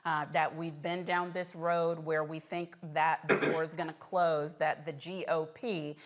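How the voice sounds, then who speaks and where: A woman's voice: low-pitched, little pitch movement, measured pace. A woman moderating a political panel show as host, conversational, informal and wry.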